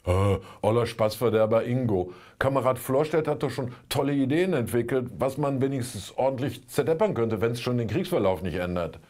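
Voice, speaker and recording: A man's voice: medium pitch, brisk, hardly any pauses, a little mellow. The sardonic older man at a studio desk who picks apart the week's politics in a satirical show. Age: 60s. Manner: sardonic, colloquial. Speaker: commentator, presenter. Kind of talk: satirical scripted political commentary. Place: indoor studio.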